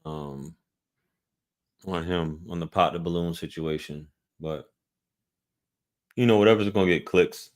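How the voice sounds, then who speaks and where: A man with a light Southern American accent hosts a live stream and answers viewer questions in a laid-back way. His voice sits very low and deep. He talks in a loose, easygoing manner. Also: steady tone, a little slow, pauses often, a little mellow. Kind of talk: casual live Q&A chat.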